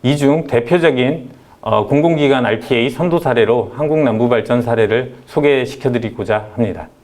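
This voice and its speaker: A man presenting at a business seminar, speaking in a measured way with frequent fillers and small restarts. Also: medium pitch, darkish tone. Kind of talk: conference presentation.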